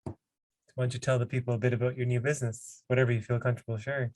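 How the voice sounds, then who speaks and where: A man hosting a podcast, introducing his guest and asking questions in a relaxed, casual way. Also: medium pitch, rapid-fire, no echo. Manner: relaxed, casual, uses fillers like "you know". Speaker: podcast host. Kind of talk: podcast interview.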